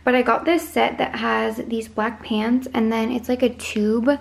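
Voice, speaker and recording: A woman's voice: fairly high pitch, level tone, a little slow, gravelly. A woman in her twenties with a slightly scratchy voice, chatting casually to her viewers in a home vlog. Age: 20s. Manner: informal, frequent "like" as filler. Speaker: lifestyle vlogger. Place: indoors in a home room.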